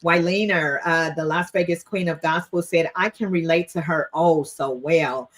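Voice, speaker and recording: A woman's voice: low-pitched, steady tone, normal speed. A woman hosting a casual livestream interview in an affirming, enthusiastic manner. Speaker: talk show host.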